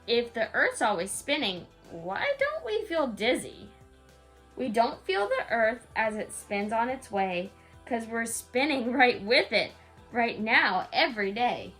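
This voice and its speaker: A woman with a fairly high voice reads a rhyming picture book at bedtime. Her delivery is sing-song and aimed at a small child, slipping into casual, expressive asides. Soft music plays behind her. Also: a little slow. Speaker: parent reading a picture book aloud to a young child. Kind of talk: bedtime story read-aloud with casual asides.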